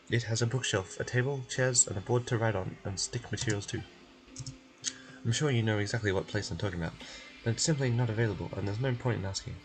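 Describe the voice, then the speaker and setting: A man's voice: lowish voice, lightly acted, low-quality recording. A man voicing a wordy, matter-of-fact scholarly client in a game, speaking formally.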